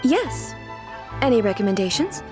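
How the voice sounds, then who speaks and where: A woman's voice: on the high side, big pitch swings, moderate pace, over music. An actress playing a composed, formal female ruler in a video game, curious and earnestly literal. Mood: relaxed, curious. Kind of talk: video game character dialogue.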